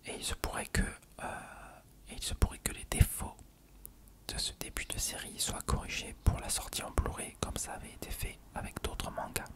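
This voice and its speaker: An ASMR host whispering an explanatory article reading in a measured, unvoiced voice.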